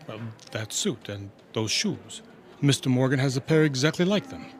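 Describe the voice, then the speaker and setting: A man's voice: mid-range voice, animated, moderate pace, faint music behind, natural voice. Man playing a flustered, deferential hotel concierge. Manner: hesitant, polite, flustered. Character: nervous, deferential male hotel desk concierge. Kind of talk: radio drama acting.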